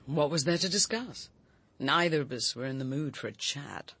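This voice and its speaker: An actress playing a brisk, self-possessed, sharp-tongued Englishwoman in a radio drama, speaking formally and firmly with an English accent. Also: low-pitched, lively intonation, exaggerated voice. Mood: matter-of-fact. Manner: crisp, formal, firm.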